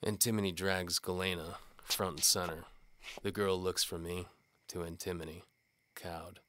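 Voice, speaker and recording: A man playing a cynical, world-weary knight-for-hire detective, narrating a hardboiled noir audio drama in a deadpan, wisecracking way. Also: deep voice, normal speed, no echo. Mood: weary, wry.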